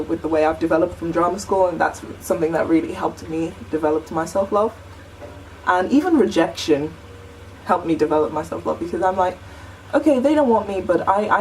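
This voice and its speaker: A young woman with a deep voice chatting earnestly to camera in a vlog, full of "like" and "you know". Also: brisk, darkish tone, recorded from a distance, a little hiss or hum, low-quality recording. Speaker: vlogger. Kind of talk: casual chat to camera.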